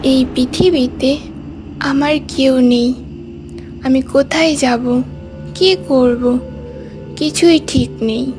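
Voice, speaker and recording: A woman's voice: high voice, fairly even intonation, slow pace, long pauses. A woman voicing a scheming ghost disguised as a young bride in a cartoon story, with music behind. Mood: meek, forlorn.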